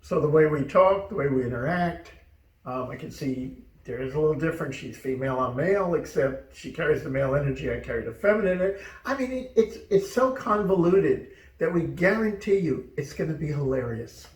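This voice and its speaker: A man's voice: on the high side, lively intonation, a little fast. A man from the United States, co-hosting a casual chat show, joking and telling stories in a reflective way. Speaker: co-host. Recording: roomy sound, distant mic.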